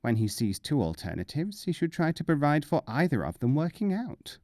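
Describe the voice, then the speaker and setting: A man's voice: fairly high pitch, very animated, normal speed, darkish tone, dry recording, clean studio sound, voice pushed up. A man voicing a wry, self-assured wise-fool mullah in a storybook reading. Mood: airy, unruffled.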